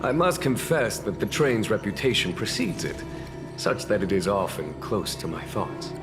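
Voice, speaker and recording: A composed game general with a very low voice. He is courteous and shrewd, never rushed, with music playing behind him. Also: big pitch swings. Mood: genial, measured. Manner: unhurried, polite, diplomatic. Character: calm, courteous, shrewd male general of knights. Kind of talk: scripted video game cutscene acting.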